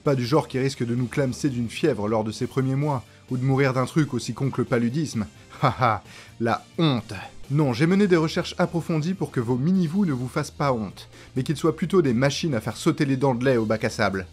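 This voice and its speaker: A man's voice: mid-range voice, brisk, a little mellow, faint music behind. A man presenting a scripted comedic video essay in a sarcastic, informal way, as a YouTuber.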